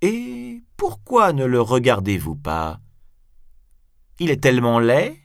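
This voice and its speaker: A man's voice: medium pitch, sing-song, takes it slowly, creaky, fairly bright tone, no echo, studio quality, pitched above the performer's own voice, exaggerated voice. A man voicing a proud, bold, mocking swordsman in a grandiloquent storybook style. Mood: mock concern, challenging. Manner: grandiloquent, taunting.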